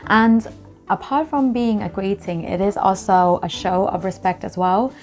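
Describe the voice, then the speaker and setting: A woman's voice: medium pitch, a little slow, soft background music. A young woman from Thailand, chatting casually to camera as a vlogger and explaining things with lots of examples.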